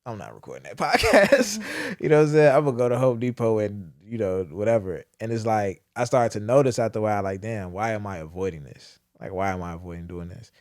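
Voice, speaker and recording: A young man talks in loose, candid podcast chat, cursing casually as he reflects. His voice sits on the low side. The sound is dry and close, with little room around it. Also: lively intonation, quick pace, hardly any pauses, no echo. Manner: candid, profane, reflective storytelling. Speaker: podcast host.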